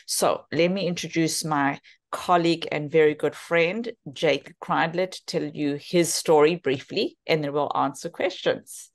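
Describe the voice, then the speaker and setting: A woman's voice: sits low, a little slow. A woman in her fifties with a South African accent, speaking earnestly as a therapist introducing her work in a webinar. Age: 50s. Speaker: physiotherapist and therapy program co-founder. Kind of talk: webinar talk, self-introduction.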